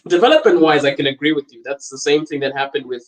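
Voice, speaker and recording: A young man from Botswana chats loosely on a livestream, answering viewer comments as he goes. His voice sits a little high for a man, and he laughs often and rambles between topics. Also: fast talker, low-quality recording. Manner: animated, laughing often, wandering and talkative. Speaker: podcast host on a livestream. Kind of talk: casual livestream chat reading viewer comments.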